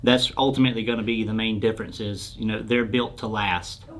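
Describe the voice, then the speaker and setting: A man's voice: lowish voice, little pitch movement, a little fast, a bit bright. Plain-spoken man explaining products. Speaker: radio sales expert answering questions. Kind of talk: informal explainer interview.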